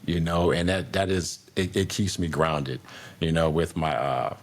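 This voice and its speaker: Easygoing middle-aged guy, low voice, lots of restarts and "you know"s, chatting on a podcast. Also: steady tone, measured pace. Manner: laid-back, rambling with many restarts. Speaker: podcast guest, air traffic controller. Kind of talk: casual conversational interview.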